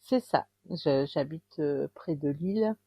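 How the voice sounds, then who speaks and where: A woman in her fifties from northern France, a romance author chatting in an interview, pausing often and restarting her sentences. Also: low-pitched, animated, warm tone, husky, dry recording. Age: 50s. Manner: hesitant, many pauses and restarts. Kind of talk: casual interview chat.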